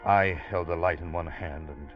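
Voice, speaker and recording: Man acting a anxious ship's officer, deep voice, radio drama narrator, dull vintage recording with music. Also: moderate pace, lightly acted. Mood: tense, recounting. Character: anxious male ship's officer recounting a sea tale.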